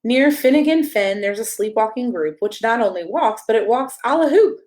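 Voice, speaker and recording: A woman's voice: a little high, animated, moderate pace, darkish tone. A woman reading a rhyming children's picture book aloud as a storyteller, in a playful, sing-song way with emphasis on the rhymes.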